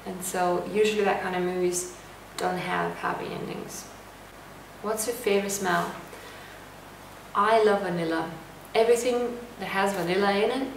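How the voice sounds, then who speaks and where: A young woman from Lithuania vlogging a casual to-camera Q&A at home, calm and reflective as she reads out each question and answers it. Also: medium pitch, a little hiss or hum. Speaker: lifestyle vlogger. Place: indoor room at home. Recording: echoey room, voice a bit distant.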